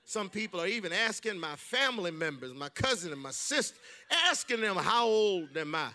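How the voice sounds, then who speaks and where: A man preaching a sermon in a church, emphatic and loud, mixing humor with exhortation. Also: high-pitched, animated, normal speed. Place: church sanctuary.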